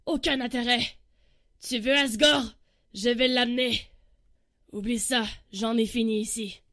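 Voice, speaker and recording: A woman's voice: a little high, fairly even intonation, measured pace, a bit bright, dry recording, studio quality. A woman voicing a fierce, proud, competitive monster warrior in a comic dub, with a brash manner.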